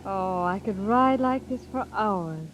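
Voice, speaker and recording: An actress playing an earnest, wistful young office secretary in love, in 1930s radio style. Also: female voice, mid-range voice, animated, slow. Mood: wistful, tender. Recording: muffled, noisy 1930s radio recording.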